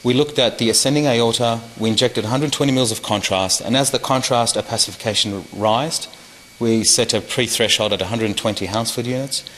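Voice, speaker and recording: Guy doing a medical conference talk, forceful voice, big hall reverb, bit of noise. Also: mid-range voice, brisk. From Australia. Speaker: conference presenter. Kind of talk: academic medical presentation.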